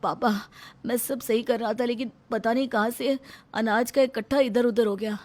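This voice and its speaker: A woman's voice: a little high, steady tone, moderate pace, darkish tone, natural voice. A woman voicing a timid young adult son, apologetic and defensive, in a family audio story. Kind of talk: melodramatic audio story dialogue.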